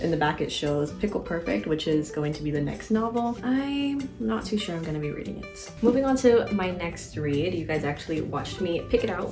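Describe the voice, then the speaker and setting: A young woman chatting casually and informally to the camera as a book vlogger. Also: deep voice. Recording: roomy echo, music in the mix.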